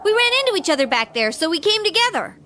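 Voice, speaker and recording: A woman playing a chatty, curious teenage schoolgirl in an English anime game, perky and expressive. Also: very high-pitched, fast talker, background noise. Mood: surprised, chatty. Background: faint music.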